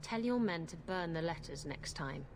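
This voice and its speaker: A woman's voice: lowish voice, expressive, normal speed, a little hiss or hum, lightly acted. An actress playing a brash, sly, self-assured female rogue in a fantasy video game. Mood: defiant. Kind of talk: video game character dialogue. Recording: music behind the voice.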